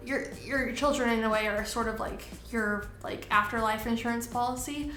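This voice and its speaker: A woman's voice: on the high side, reverberant. A young woman missionary chatting casually in a Q and A, with lots of "like" fillers and easy laughs. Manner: relaxed, many "like" fillers, laughs easily. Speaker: missionary in Taiwan. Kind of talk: casual Q and A chat.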